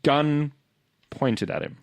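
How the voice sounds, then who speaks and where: A man's voice: a little high, takes it slowly. A man talking casually as a player in an improvised tabletop role-playing game.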